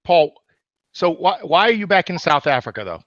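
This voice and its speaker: A man's voice: fairly high pitch, sing-song, moderate pace, a bit bright, no echo. Jokey, dull-sounding male webinar host. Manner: jokey, hype-driven, calls the guest "my man". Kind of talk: webinar interview.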